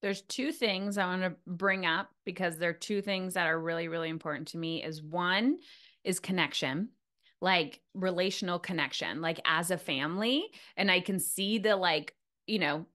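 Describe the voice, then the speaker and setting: Woman podcast host, kinda lowish voice, super casual, says like a lot. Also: fairly bright tone. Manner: informal, frequent "like", reflects back what guest says. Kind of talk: casual interview chat.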